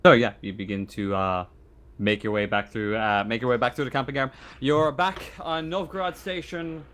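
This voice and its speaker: A man improvising narration as game master of a tabletop roleplaying game, speaking informally and haltingly. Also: medium pitch, fairly even intonation, fairly bright tone.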